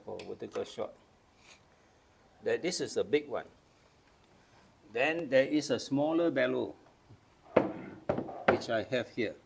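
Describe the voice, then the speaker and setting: Older man from Malaysia, gravelly, methodical show-and-tell explainer. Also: medium pitch, expressive, slow, long pauses, background noise. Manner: patient, explains step by step with hands-on demos. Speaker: camera collector. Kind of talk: casual show-and-tell demonstration.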